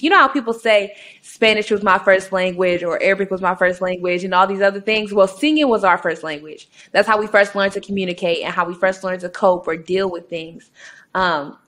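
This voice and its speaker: A young adult woman, a musician guest in an interview, telling her story with emphatic, passionate conviction. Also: mid-range voice. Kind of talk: conversational interview answers. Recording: roomy echoey room.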